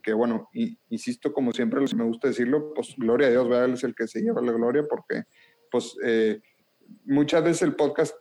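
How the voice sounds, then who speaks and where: An earnest man with a strong northern Mexican accent hosts a faith podcast. He talks in a loose, thankful way, full of filler words, at an easy middle pitch. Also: normal speed, warm tone, a little hiss or hum. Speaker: podcast host. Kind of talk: casual chat.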